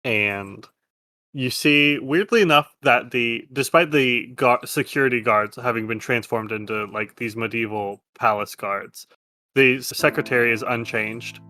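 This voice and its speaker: A man's voice: medium pitch, a little slow, sharp tone, dry recording. A man acting as game master, narrating a tabletop game in a casual style.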